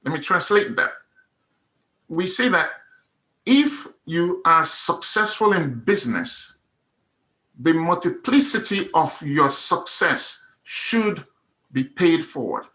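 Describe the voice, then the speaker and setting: Guy, middle-aged, bright voice, earnest testimony, hammers the same phrase over and over. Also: a little high, very animated, slow. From Nigeria. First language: Igbo. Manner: earnest, emphatic, repeats phrases for effect. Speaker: testimonial speaker. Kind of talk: personal testimony at a meetup.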